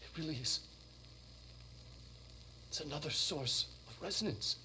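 An actor playing an earnest, haunted middle-aged male scientist who records research logs in a halting, stammering, intense way. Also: on the high side, animated, bright, forceful tone, a little hiss or hum, exaggerated voice, low-quality recording. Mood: shaken, awed. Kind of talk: dramatic recorded log monologue in a video game.

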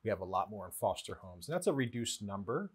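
A man's voice: fairly low pitch, sing-song, fast, breathy edge. A man around forty explaining things as an interview guest, often checking in with a "right?". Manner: often tags statements with "right?". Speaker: animal shelter representative, interview guest. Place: small indoor studio-office, seated.

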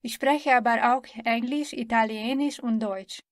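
Slow, clear-spoken woman caller. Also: fairly high pitch, fairly even intonation, no echo, studio quality. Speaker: caller in a learner dialogue. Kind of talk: scripted dialogue for German learners.

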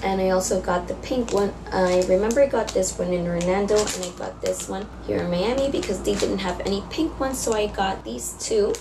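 Young female beauty vlogger, hoarse from illness, casual and brisk, mid pitch, noisy home recording. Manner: chatty, quick product run-through. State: raspy voice from being sick. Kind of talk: casual haul vlog. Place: small indoor room against a plain wall.

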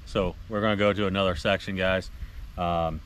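A man's voice: low voice, fairly even intonation, moderate pace, fairly bright tone, poor audio. A middle-aged American man giving casual, folksy, rambling commentary to viewers as he fishes. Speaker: fishing vlogger and tournament angler. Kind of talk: casual running commentary to camera. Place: outdoors on a small boat on a lake. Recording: outdoor action-camera sound with heavy ambient noise.

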